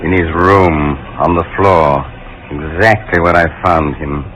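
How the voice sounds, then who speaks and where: Deep-voiced butler, cold, deadpan, formal, in a noisy vintage radio mystery. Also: male voice, unhurried. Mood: impassive. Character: cold, impassive long-serving manservant. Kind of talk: 1940s radio drama acting. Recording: noisy old radio recording.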